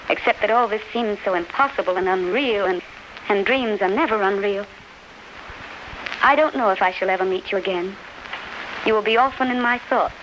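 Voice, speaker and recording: A woman's voice: mid-range voice, natural voice. A woman in a 1930s radio drama playing a gracious, tender English duchess, refined, polite and full of feeling. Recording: muffled, noisy 1930s radio recording.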